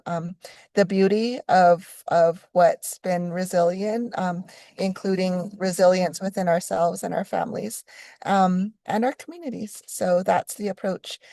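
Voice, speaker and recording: A middle-aged woman from New Mexico delivers a conference keynote in a fairly low voice. She talks in an unhurried, reflective way, often pausing on "um" and restarting phrases. The sound is dry, with little room echo. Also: mellow. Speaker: keynote speaker, professor.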